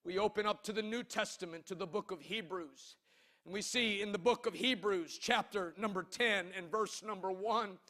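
A male pastor delivers an impassioned sermon in a high, forceful voice. His delivery is declamatory and emphatic, with key phrases drawn out for weight. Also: moderate pace.

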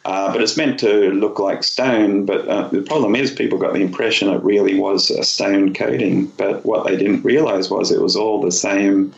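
A low-pitched man talks as a guest in a casual interview. He explains things in a matter-of-fact way with plenty of creak in his voice. The sound is dull and echoes around the room. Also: steady tone, normal speed, hardly any pauses. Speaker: company founder being interviewed as a guest.

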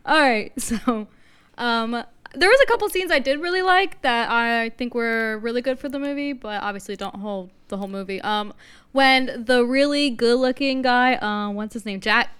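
A woman's voice: fairly high pitch, moderate pace, fairly bright tone, smooth. A woman co-hosting a podcast, chatting casually about movies with frequent giggles and teasing. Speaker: podcast co-host. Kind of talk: casual movie-review chat.

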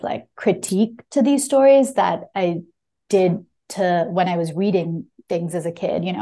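A woman with a lowish, very soft-edged, mellow voice gives reflective answers as an interviewed author. She speaks thoughtfully and haltingly, often pausing to find the right word. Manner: thoughtful, hesitant, searching for words. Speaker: debut memoir author, guest. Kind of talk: reflective interview answers, with a short reading at the start.

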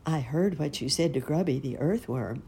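A woman voicing a gentle, motherly monarch butterfly in a storybook reading. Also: sits low, expressive, moderate pace, gravelly, husky, full character voice. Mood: tender.

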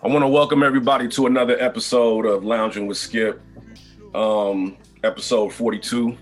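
A man hosting a podcast interview, talking in a casual, halting way with many fillers and restarts. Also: mid-range voice, fairly even intonation, faint music behind. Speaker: podcast host.

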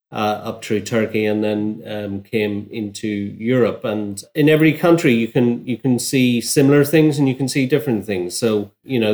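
A man working as a journalist, giving measured, analytical commentary in an unscripted interview. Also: fairly low pitch, a little mellow. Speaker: journalist, newspaper bureau chief.

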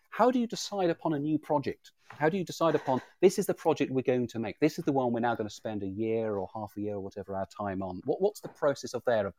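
A British man talks informally in an interview setting, recorded dry with little room sound. His speech is halting, and he often doubles back on words as he builds a sentence. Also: medium pitch, a little mellow, no echo. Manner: halting, repeats words as he goes. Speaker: audio drama creator, interviewer. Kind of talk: informal live conversation interview.

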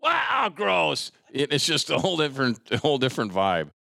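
A man's voice: fairly high pitch, huge pitch swings, fairly quick, vocal fry, bright, forceful tone, no echo, clean studio sound. An American man hosting a casual interview, informal and teasing, reacting to his guest with exclamations. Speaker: podcast host, interviewer.

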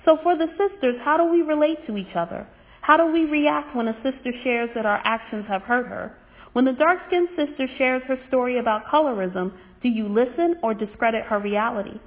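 A woman giving a teaching sermon in an earnest, measured way. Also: fairly high pitch, expressive. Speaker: religious teacher. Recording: tinny phone-like audio.

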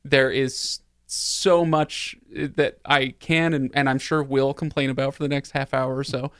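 Clear-voiced man in casual podcast chat. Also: mid-range voice, little pitch movement, a little slow, fairly bright tone, no echo, clean studio sound. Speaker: podcast co-host discussing a film.

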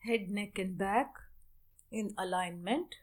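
A woman teaches a guided meditation at a very unhurried pace. Her voice sits at a medium pitch. She gives measured instructions in short phrases, leaving long pauses between them.

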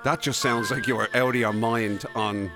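A man's voice: mid-range voice, steady tone, normal speed, over music. A man co-hosting a podcast in casual, wry, bantering conversation. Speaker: podcast co-host. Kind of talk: casual comic chat.

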